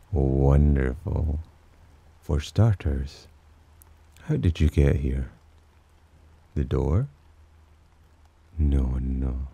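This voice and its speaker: A man playing a teasing, possessive demon in an ASMR roleplay, with a breathy, husky voice and a smug, theatrical drawl. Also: very deep voice, mellow, dull audio, exaggerated voice. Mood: coy, teasing. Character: teasing, possessive male demon sheltering a human. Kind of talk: ASMR roleplay, slow intimate character acting.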